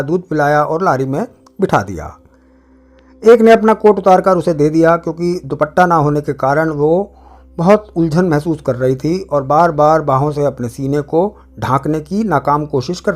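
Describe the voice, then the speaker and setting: Higher-pitched male storyteller over music. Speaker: narrator. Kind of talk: scripted dramatic short-story narration.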